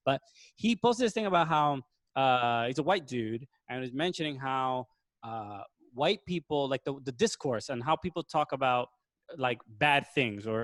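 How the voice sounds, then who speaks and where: A man chatting on a podcast, talking haltingly with many restarts and stammers. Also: medium pitch, no room sound. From Dominican family. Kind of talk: casual podcast chat.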